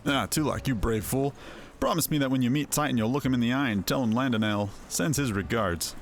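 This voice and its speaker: A man's voice: medium pitch, brisk, faint music behind, lightly acted. A man playing a hearty, fond adventurer in a fantasy game, with a familiar, dramatic way of talking. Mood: fond bravado. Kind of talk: voiced game dialogue.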